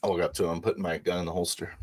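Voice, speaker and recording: A man playing a tabletop roleplaying game in character, speaking informally and haltingly. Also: sits low, little pitch movement, a little mellow, a little hiss or hum.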